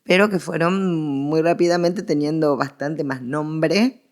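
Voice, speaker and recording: A woman with an Argentine accent speaking as an expert guest in a conversational scholarly interview, explaining in an animated way and repeating phrases for emphasis. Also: sits low, measured pace.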